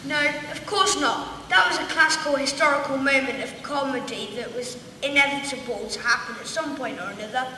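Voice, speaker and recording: A woman's voice: high voice, fairly quick, few pauses, sharp tone. A young woman playing a dismissive, snarky school pupil in a comedic radio play. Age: young adult. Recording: very echoey hall, distant mic, faint noise.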